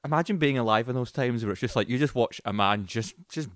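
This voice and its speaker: A man's voice: mid-range voice, fast talker, fairly bright tone, no echo, studio quality. A man co-hosting a podcast, chatting casually about films with sweary, sarcastic informality. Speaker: podcast co-host.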